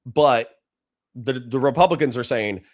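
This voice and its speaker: A man with a dry voice giving blunt, profane political commentary to a livestream chat. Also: medium pitch. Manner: blunt, profane, fast restarts.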